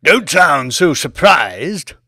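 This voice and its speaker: A theatrical, excitable ancient English lord. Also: male voice, on the high side, slightly rough, no room sound, studio quality, voice pushed up, full character voice. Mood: wry, greeting. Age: 169 years old. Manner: theatrical, drawn-out, exclamatory delivery. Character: imperious, excitable 169-year-old English lord, tall, grandiose. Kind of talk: audiobook character acting.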